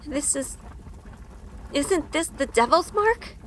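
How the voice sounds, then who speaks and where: A woman's voice: sits high, animated, slow pace, fairly bright tone, big-room sound, a little hiss or hum, heavily acted. A woman playing a timid, sheltered young woman in an audio drama, hesitant and anxious. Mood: nervous, shaken. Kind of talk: audio drama acting and first-person narration. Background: music.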